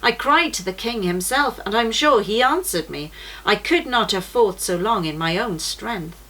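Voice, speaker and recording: A woman's voice: medium pitch, animated, normal speed, bright, forceful tone, poor audio. A woman voicing a brave, earnest male pilgrim swordsman in a children's storybook. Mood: earnest, resolute. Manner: earnest storybook narration of his fight. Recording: noticeable background noise.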